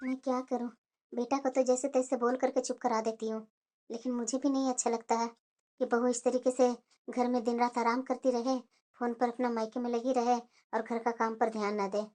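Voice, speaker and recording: A woman's voice: a little high, level tone, a little fast, mellow, close to an everyday voice. A woman voicing a middle-aged mother-in-law in a family cartoon, patient and placating, with a plaintive undertone. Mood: quietly worried. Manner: coaxing, plaintive. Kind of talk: cartoon family drama dialogue.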